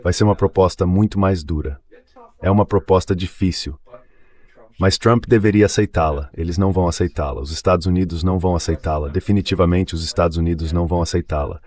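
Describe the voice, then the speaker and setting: Low-pitched synthetic male commentator. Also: steady tone, fast, darkish tone. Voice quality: AI-generated dubbed voice. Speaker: political commentator guest. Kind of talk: geopolitical analysis in an interview.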